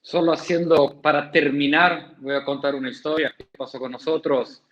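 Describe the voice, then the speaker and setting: Upbeat moderator, slightly high voice. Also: male voice, fairly even intonation, normal speed, fairly bright tone. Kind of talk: panel discussion. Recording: dull lo-fi sound.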